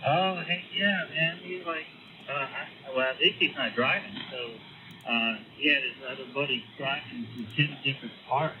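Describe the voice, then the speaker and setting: A man, an amateur radio operator, chatting in a relaxed, hesitant way over the air. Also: mid-range voice, normal speed, lo-fi sound. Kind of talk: casual on-air radio chat. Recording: radio transmission with static.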